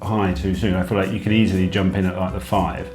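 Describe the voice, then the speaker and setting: Low-voiced man, chatty snack taster. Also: little pitch movement, quick pace. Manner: chatty, hesitant, with lots of ums. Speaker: co-host. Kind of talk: casual snack-tasting chat. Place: home room. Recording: echoey room with background music.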